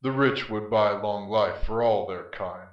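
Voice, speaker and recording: A man's voice: on the low side, unhurried, lightly acted. A man playing Death, a grim, scornful spirit, in archaic, declamatory verse drama. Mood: defiant. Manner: archaic, theatrical, defiant. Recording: muffled, echoey, distant from mic.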